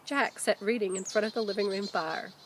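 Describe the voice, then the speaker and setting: A woman, a teacher reading a children's book aloud expressively, with deliberate emphasis. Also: medium pitch, slight background noise. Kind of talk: children's storybook read-aloud.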